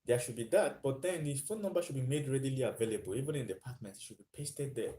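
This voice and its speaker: A man's voice: mid-range voice, animated, fast, mellow. A man hosting a live stream, thinking aloud with frequent fillers and answering viewer comments. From Nigeria.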